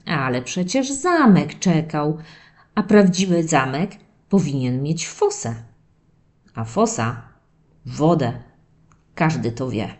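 A woman voicing a playful young male terrier puppy who tells stories from his diary to children in an expressive, dramatic way. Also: low-pitched, heavily acted. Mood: excited, mischievous. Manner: expressive, drawn-out dramatic telling addressed to children. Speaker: narrator of a children's audio story series. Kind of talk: animated first-person children's storytelling.

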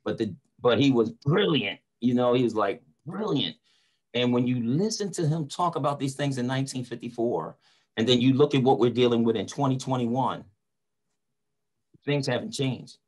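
A man's voice: medium pitch, expressive, moderate pace, darkish tone, breathy edge. A middle-aged man, speaking earnestly and emphatically in an online discussion, often repeating words for emphasis. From North Philadelphia, United States. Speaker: preacher and motivational speaker. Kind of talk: casual discussion in an online panel. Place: small room at home or office, indoors. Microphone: video call computer mic.

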